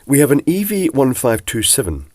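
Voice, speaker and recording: A man with a cold, sounding bunged up and croaky, casually explaining electronics as he takes a device apart. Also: mid-range voice, huge pitch swings, normal speed, a little mellow, no room sound. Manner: chatty, rambling asides. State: congested from a cold, husky. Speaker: electronics teardown presenter.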